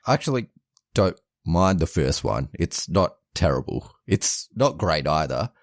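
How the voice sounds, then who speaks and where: Male podcast co-host, extremely deep, animated intonation, dry close mic. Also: a little slow, no room sound. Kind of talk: casual movie review chat.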